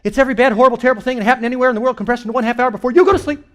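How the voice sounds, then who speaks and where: A man doing stand-up comedy storytelling to a live audience, animated and acting out reactions and dialogue as he goes. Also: extremely high pitch, racing, a little hiss or hum. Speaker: comedian and keynote speaker. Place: church hall in front of a live audience. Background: audience laughter.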